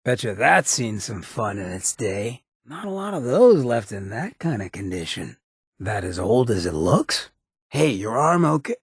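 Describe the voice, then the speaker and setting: Super raspy ghoul rogue from a video game, wry streetwise drawl, kinda deep, close dry sound. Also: male voice, sing-song, unhurried, no room sound, heavily acted. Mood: wry, casual, then pained, alarmed. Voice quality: raspy, gravelly. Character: roguish, wry male ghoul mayor, chem-loving companion. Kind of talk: video game companion dialogue.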